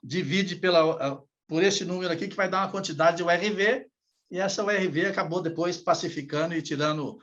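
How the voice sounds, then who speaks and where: A man, general manager of an association, recalling past work challenges in a podcast chat, halting and repeating words as he thinks. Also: sits high, fairly bright tone, highs cut off.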